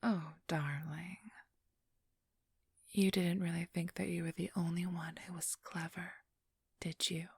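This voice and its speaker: A woman's voice: lowish voice, little pitch movement, gravelly, a little mellow, slightly rough, dry recording, full character voice. A woman playing a haughty, teasing, ageless vampire thief in an ASMR role-play, whispering breathily and condescendingly close to the microphone. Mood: sly, boastful. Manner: condescending, teasing, drawn-out delivery. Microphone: close, dry microphone.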